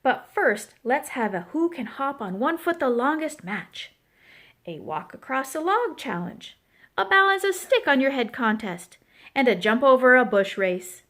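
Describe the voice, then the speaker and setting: Woman playing a loud, boastful little girl duck. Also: sits high, animated, unhurried, exaggerated voice. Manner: loud, bragging, exclaiming. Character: boastful, hyper little female duck, competitive show-off.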